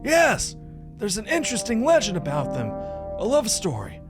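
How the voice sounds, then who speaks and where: Man voicing an eager, high-pitched, awestruck young-hearted traveler. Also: normal speed, music in the background. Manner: excited, wonder-struck. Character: eager, bookish male travel companion, easily awed.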